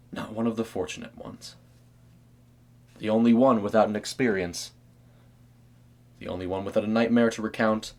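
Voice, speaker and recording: A man reading a scripted eerie story as narrator, in a measured, dramatic storytelling manner. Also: lowish voice.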